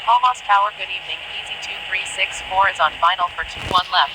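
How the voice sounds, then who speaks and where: A voice reading scripted air traffic radio calls in a clipped, formulaic way through a radio effect. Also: fast. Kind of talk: scripted aviation radio phraseology. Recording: very noisy aviation radio with engine rumble.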